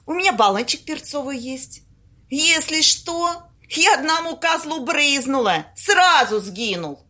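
Brash, cheeky female friend for a radio comedy, played by an amateur actress: high voice, very animated, unhurried bantering delivery. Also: heavily acted. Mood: cheeky, argumentative. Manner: bantering, colloquial, theatrical. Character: brash, cheeky woman fond of a drink. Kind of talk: amateur audio play acting.

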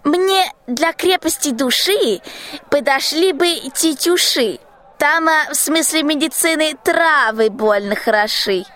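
A woman in a radio play acting a sly old witch who justifies herself in wheedling, drawn-out rhymed verse. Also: high voice, animated, fairly bright tone, full character voice. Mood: plaintive, sly. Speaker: school student actor.

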